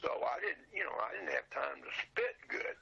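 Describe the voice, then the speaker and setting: An older man, sixty or above, chatting casually as an interview guest in a husky, gravelly voice, plain-spoken and folksy. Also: mid-range voice, big pitch swings, moderate pace, bright, forceful tone. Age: 60s or older. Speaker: interview guest, old radio show dealer. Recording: dull lo-fi audio.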